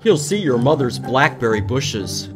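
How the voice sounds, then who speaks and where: Male children's storytime host, medium pitch, animated intonation, simple repetitive teaching that invites kids to repeat. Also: measured pace, over music. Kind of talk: children's storytime reading and letter lesson, with some singing.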